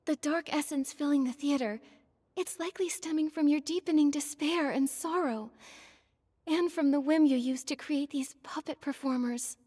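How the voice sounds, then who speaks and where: An actress playing a calm, compassionate young heroine in a game cutscene, speaking gently and reasonably. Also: female voice, high voice, normal speed, big-room sound, lightly acted. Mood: earnest.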